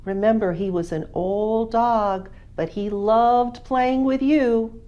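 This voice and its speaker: A woman voicing a gentle father who speaks slowly and softly, consoling his son in a told story. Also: fairly high pitch, steady tone, lo-fi sound. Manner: slow, soft, consoling.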